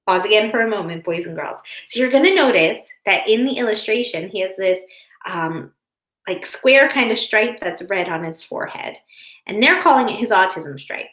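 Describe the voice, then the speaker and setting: A woman's voice: medium pitch, animated, normal speed, a bit bright. A woman teacher reading and explaining a picture book to young children in simple, careful language. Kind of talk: children's read-aloud with explanatory asides. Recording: roomy, echoing indoor sound.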